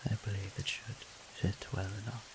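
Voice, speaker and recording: A man playing a gentle, teasing vampire priest in an intimate audio roleplay, speaking softly and breathily to the listener in courteous, old-fashioned phrasing. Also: lowish voice, flat delivery, moderate pace, lightly acted. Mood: warm, reassuring. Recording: clear hiss and rain sound behind.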